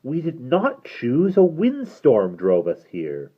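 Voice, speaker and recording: A man's voice: mid-range voice, huge pitch swings, takes it slowly, husky, full character voice. A man voicing a frightened, desperate young woman who pleads urgently. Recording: dull lo-fi recording.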